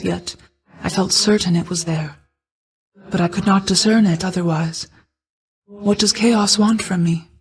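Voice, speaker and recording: A woman voicing an ancient goddess of night in a video game, a solemn, caring mother who speaks in a formal, measured, reserved way. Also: medium pitch, a little mellow, natural voice, poor audio. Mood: grave, pensive. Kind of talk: video game character dialogue.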